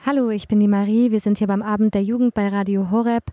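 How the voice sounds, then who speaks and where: Even-toned woman presenting on radio. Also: medium pitch, quick pace, no echo, studio quality, soft background music.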